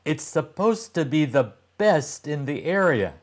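A man's voice: fairly high pitch, big pitch swings, measured pace, voice pushed up. An older man voicing a patient, reassuring mother in a children's storybook, explaining things enticingly.

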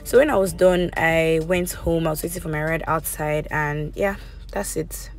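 Hoarse woman vlogging by phone. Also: low voice, normal speed. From Nigeria. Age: 20s. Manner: chatty, wry and exaggerating. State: hoarse, voice worn out. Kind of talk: casual vlog, voice-over and selfie clips. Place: outdoor camp grounds and inside a car. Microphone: phone camera at arm's length. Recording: loud crowd and music behind.

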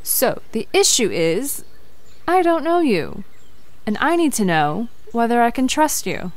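An actress plays a determined young woman investigating strange things. Her voice is high and very smooth, and she speaks with earnest, conversational curiosity in an audio drama. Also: sing-song, a little slow, no room sound. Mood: firm.

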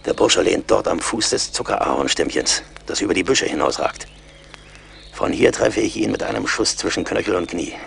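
An actor playing a seasoned, blunt and mocking frontier scout in a radio play, with a wry, commanding manner. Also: male voice, low voice, lively intonation, moderate pace, creaky, fairly bright tone, slight background noise, full character voice. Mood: suspicious. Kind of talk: German radio play acting.

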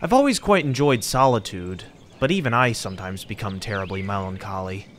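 A man's voice: lowish voice, animated, a little fast, fairly bright tone, a little hiss or hum. A man voicing an anxious, lonely teenage schoolboy in a dramatic horror manga reading. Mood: melancholy, uneasy.

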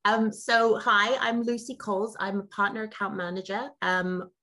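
A woman's voice: medium pitch, little pitch movement, moderate pace, pushed and bright. A woman from Zimbabwe in her thirties, a guest answering interview questions over a video call in an upbeat, articulate, businesslike way. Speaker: guest, partner account manager. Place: home room, indoors.

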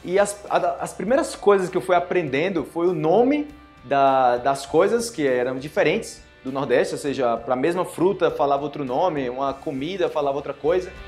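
A young man from Northeast Brazil with a Northeastern Brazilian accent, giving an animated Portuguese lesson to camera and acting out little dialogues. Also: on the high side, normal speed, hardly any pauses, soft background music. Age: young adult. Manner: animated, gestures a lot, acts out dialogues. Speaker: Portuguese teacher. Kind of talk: online language lesson to camera. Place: small indoor room with plain wall.

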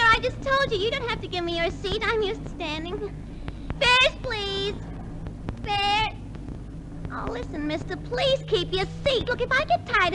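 A woman playing a squawky, scatterbrained, literal-minded girl in a 1950s radio comedy. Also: extremely high pitch. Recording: noisy old 1950s radio recording.

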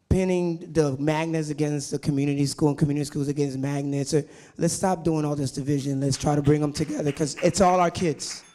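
A man's voice: fairly high pitch, a little mellow. A young man answering as a candidate at a forum, conversational with frequent restarts, into a handheld mic. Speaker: mayoral candidate. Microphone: handheld microphone.

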